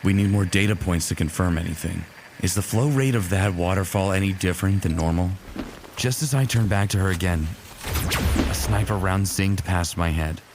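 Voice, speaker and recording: A man's voice: deep voice, normal speed, background noise. A man playing a smitten, teasing sci-fi warrior who narrates his own exploits in a fan audio drama, casual and wry. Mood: dry, teasing. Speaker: narrator and lead character.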